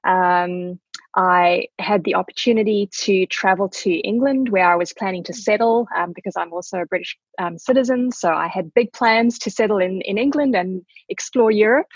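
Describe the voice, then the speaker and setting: A woman in her forties with a husky, slightly breathy voice, speaking graciously and reflectively as a podcast guest. The sound is dry and close, with no room echo. It has the dull, muffled quality of remote call audio. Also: mid-range voice, moderate pace. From South Africa. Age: 40s. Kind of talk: conversational interview.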